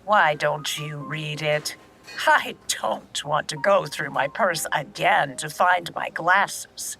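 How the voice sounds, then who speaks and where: An older woman acting in an audio drama as an elderly grandmother recalling her past, candid and steady, in a reflective, measured way. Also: low-pitched, heavily acted. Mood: fond, slightly flustered.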